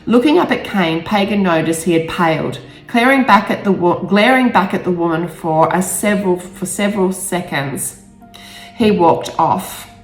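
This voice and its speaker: A woman's voice: on the low side, moderate pace. A woman author narrating her own book, stumbling over and rereading the odd phrase. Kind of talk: audiobook-style narration with brief personal asides. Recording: roomy echo, faint music behind.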